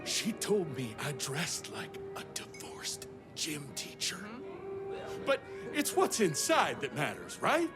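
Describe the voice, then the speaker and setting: A man's voice: a little high, wildly swooping pitch, slow pace, heavily acted. An actor playing an insecure, lovelorn small-town man in a video game, earnest and over-dramatic. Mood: glum, insecure. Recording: loud music and crowd noise.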